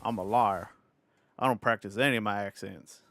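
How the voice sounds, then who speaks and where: A man's voice: on the low side, fairly even intonation, normal speed, a bit bright. A man from the United Kingdom putting on an American Southern cowboy accent, chatting casually and self-consciously to a livestream audience with plenty of swearing. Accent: put-on American Southern cowboy drawl. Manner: self-conscious, profane, playful rambling in a put-on accent. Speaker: livestreamer talking to chat.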